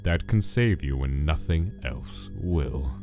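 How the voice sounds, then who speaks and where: Low-pitched male skeleton scientist acted by a man, cold, menacing, formal and clinical, dramatic comic dub, music underneath. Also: expressive, takes it slowly, dark tone, full character voice. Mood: grave, ominous.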